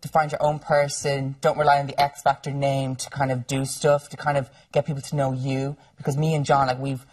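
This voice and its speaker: A man's voice: mid-range voice, level tone, a little mellow, breathy edge. A young man, a pop singer, who talks eagerly and fills his sentences with "like" in a casual radio interview. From Ireland. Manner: eager, rushed, lots of "like". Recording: distant mic in a studio.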